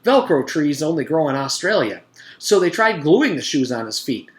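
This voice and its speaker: An eager schoolboy played by a man spins a boastful tall tale in a storybook reading. His pitch is lifted well above an everyday voice, and he is animated and earnest. The room adds audible echo. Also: normal speed. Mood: eager, explaining.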